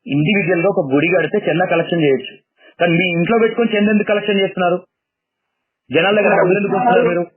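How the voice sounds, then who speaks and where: A man with a Telangana Telugu accent fires questions over a phone line at a very quick pace, pressing the same point again and again. His voice is husky and rather high, squeezed into a thin, phone-like band. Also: steady tone, dry recording. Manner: confrontational, interrogating, repeats his questions. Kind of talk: heated phone argument. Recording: phone line, leaked call audio.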